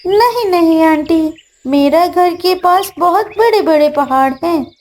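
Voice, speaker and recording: Little cartoon chick woman playing a boy: innocent, pleading, very high, slowly spoken. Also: lively intonation, a little mellow. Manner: childlike, pleading. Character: small innocent young male bird child. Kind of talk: Hindi children's cartoon dialogue.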